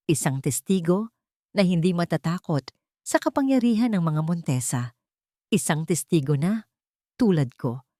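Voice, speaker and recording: A woman's voice: low voice, dark tone, no echo, studio quality. A woman voicing a serious, determined man bent on justice, speaking formally and insistently in an audio drama.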